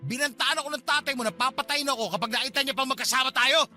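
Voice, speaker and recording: A man's voice: very high voice, quick pace, bright, forceful tone, soft background music, heavily acted. A man playing an irritable, dismissive young father in a radio drama, speaking curtly and impatiently. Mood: irritated, dismissive. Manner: curt, impatient. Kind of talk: Filipino radio drama acting.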